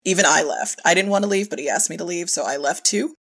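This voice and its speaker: A woman's voice: on the low side, fast talker, a bit bright, husky. A woman speaking informally as a director telling work anecdotes in an interview, often quoting herself and chuckling. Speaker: podcast guest, audio drama director and filmmaker.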